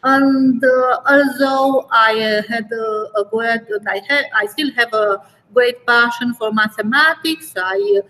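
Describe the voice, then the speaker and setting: A middle-aged woman from Romania speaks slowly in a fairly high voice. She hesitates often, searching for words as she describes her teaching work. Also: pushed and bright, rough recording. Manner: halting, many filler sounds. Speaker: guest teacher. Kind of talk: webinar self-introduction.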